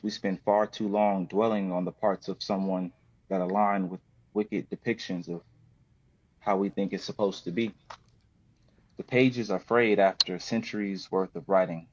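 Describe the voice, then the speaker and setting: An American man of thirty from the West Coast, a poet speaking as a podcast guest. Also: lowish voice. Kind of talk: poetry reading and casual chat. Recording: dull lo-fi roomy sound.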